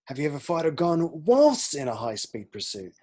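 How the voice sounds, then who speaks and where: Eager boyish policeman, played by a man, husky, slightly high voice, keen questions, film script read. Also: wildly swooping pitch, normal speed, warm tone. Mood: eager, curious.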